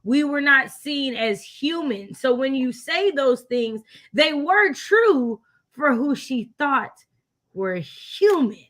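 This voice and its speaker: A woman's voice: sits high, lively intonation, slow, a bit bright. A woman in a casual book discussion, speaking in an opinionated, emphatic way and repeating points for emphasis. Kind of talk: casual live discussion of classic books.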